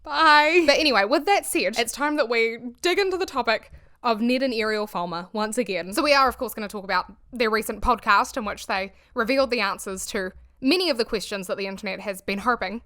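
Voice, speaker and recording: Woman, New Zealand accent, slightly high pitch, casual commentary vlog, chatty, fillers, home bedroom. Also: animated, fairly quick, bright, forceful tone. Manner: chatty, filler-heavy, laughs between phrases. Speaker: YouTube commentary host.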